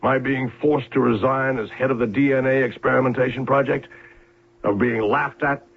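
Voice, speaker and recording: An actor playing a proud, bitter older inventor and scheming uncle, theatrical and commanding, with a gravelly, raspy voice in an old radio drama. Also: male voice, mid-range voice, fairly even intonation, moderate pace. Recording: lo-fi, muffled old radio broadcast.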